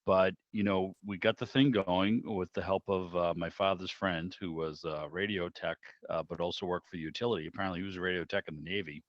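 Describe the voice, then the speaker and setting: Deep-voiced man reminiscing, dull call audio. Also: fairly even intonation, brisk, few pauses, no room sound. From Boston area, United States. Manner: chatty, peppered with "you know". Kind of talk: casual, rambling reminiscence. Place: online video meeting. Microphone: video call microphone.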